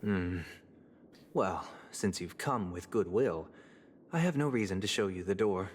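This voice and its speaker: A man's voice: low voice, lively intonation, a little slow, a crowd in the background. A man playing a polite but imperious young aristocrat in a fantasy video game, formal, controlled and condescending. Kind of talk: scripted video game voice acting. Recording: reverberant hall, game music behind.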